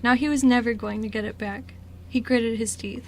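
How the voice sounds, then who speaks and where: A woman's voice: fairly high pitch, fairly even intonation, moderate pace, darkish tone. A woman reading scripted story narration for an amateur radio drama. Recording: noisy amateur radio recording.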